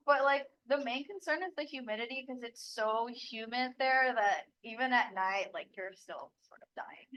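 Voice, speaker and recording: A woman's voice: high voice, fairly bright tone, a little hiss or hum, poor audio. A young woman from Bali giving casual, chatty advice as a local guide, with lots of "like" fillers. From Bali, Indonesia. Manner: chatty, many "like" fillers, laughs easily.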